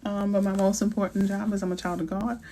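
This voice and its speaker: A woman's voice: mid-range voice, little pitch movement, fast talker, warm tone, slight background noise. A middle-aged woman from New Orleans with a New Orleans accent, hosting a casual live Q&A as a realtor and chatting playfully with slang and asides. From New Orleans, Louisiana. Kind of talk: casual live-stream chat answering viewers.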